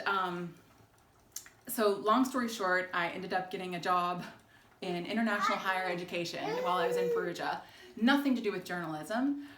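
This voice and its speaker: A woman in her forties from Texas chats to camera, filmed by her husband in an old kitchen. She rambles warmly with ums and small laughs. The room rings with echo, and a faint hiss sits under her voice. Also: on the low side. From Texas, United States. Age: 40s. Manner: chatty and warm, with frequent ums and laughs. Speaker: alumna sharing her story. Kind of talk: casual home video chat. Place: home kitchen in an old English house. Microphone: camera held by her husband.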